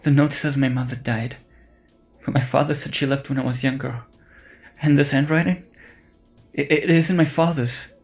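A man's voice: medium pitch, fairly even intonation, moderate pace, long pauses, darkish tone, soft background music, natural voice. A young man playing an earnest, hesitant, grieving college student in an audio drama. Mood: troubled. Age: young adult.

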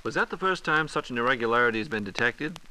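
A man's voice: mid-range voice, expressive, fast, pushed and bright, slight background noise, low-quality recording. An American man as an inquisitive, playful layman host in scripted 1940s radio dialogue. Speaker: radio host asking questions.

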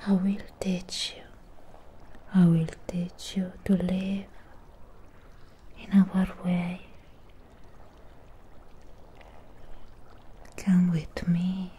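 A woman voicing a lonely, possessive female Frankenstein creature in a close-up ASMR roleplay, whispering in breathy, halting, intimate phrases. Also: fairly low pitch, level tone, warm tone. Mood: coaxing, tender. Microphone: close microphone. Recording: close-up with clear background noise.

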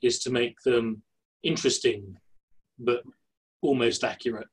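Husky middle-aged male host on a call. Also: medium pitch, steady tone, a little slow. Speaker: workshop host. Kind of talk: facilitating an online session. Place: home study lined with bookshelves. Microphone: laptop webcam on video call.

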